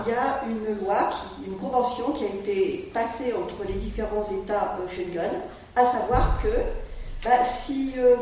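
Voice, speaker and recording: A woman's voice: mid-range voice, darkish tone. A woman explaining the work of an asylum aid group, speaking hesitantly with many fillers and restarts. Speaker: volunteer at an asylum-seeker aid association. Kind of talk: explanatory talk to an audience. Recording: distant, echoey, dull room sound with faint noise.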